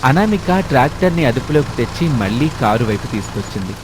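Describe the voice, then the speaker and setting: A man's voice: medium pitch, sing-song, brisk. A man narrating a scripted moral story as the storyteller. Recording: clear rain and engine noise behind.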